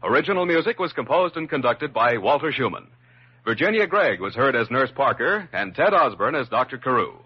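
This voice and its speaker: A man's voice: medium pitch, sing-song, a little fast, husky. A man announcing an old-time radio drama, reading a script in 1940s broadcast style. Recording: dull vintage radio recording. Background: faint music.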